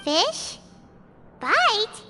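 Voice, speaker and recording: A woman voicing a tiny, childlike, excitable fairy companion in a video game, with a squeaky voice and an exclamatory manner. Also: very slow, heavily acted. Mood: puzzled, musing. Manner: refers to herself in third person, exclaims readily. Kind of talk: animated video game voice acting. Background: music.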